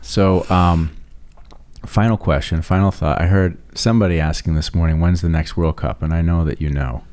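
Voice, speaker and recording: Deep-voiced male podcast host. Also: moderate pace, a little mellow. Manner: musing, repeats words when starting. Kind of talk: casual interview.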